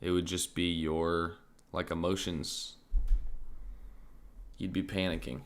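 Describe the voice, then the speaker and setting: Slow, low-voiced man, a bit raspy. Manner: relaxed, thinking aloud, many fillers like "like" and "um". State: slightly raspy, clearing his throat. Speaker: crypto trading streamer. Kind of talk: casual live-stream market commentary.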